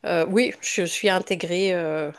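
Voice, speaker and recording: A woman's voice: fairly low pitch, fairly even intonation, measured pace, clear, resonant tone. A woman from France with a French accent, speaking as a podcast guest in a casual, reflective and somewhat hesitant way. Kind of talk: casual interview conversation.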